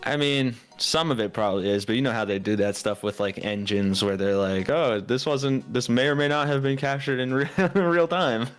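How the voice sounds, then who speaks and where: A man's voice: mid-range voice, normal speed, hardly any pauses, clean tone, faint music behind. A man co-hosting a livestream, easygoing and chuckling in casual chat. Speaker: co-host.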